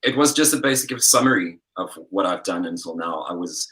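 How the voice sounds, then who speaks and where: A young man, a singer-songwriter guest, chatting in an interview over a video call in a relaxed, rambling way full of fillers. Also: low-pitched, fairly quick, rough recording. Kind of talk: casual interview chat.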